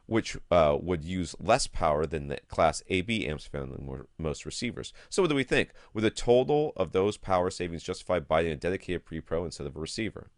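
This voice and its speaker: A man's voice: low-pitched, animated, a little fast, hardly any pauses, dry recording, clean studio sound. A man co-hosting a podcast in a casual, informal and blunt way, sometimes reading out listener questions. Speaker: podcast co-host.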